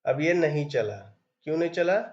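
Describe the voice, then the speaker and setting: A man's voice: a little high, animated, normal speed, darkish tone, lo-fi sound, a little hiss or hum. A man teaching programming step by step, reading code aloud as he types. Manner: explains step by step while typing, reads code aloud. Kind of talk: screen-recorded coding tutorial.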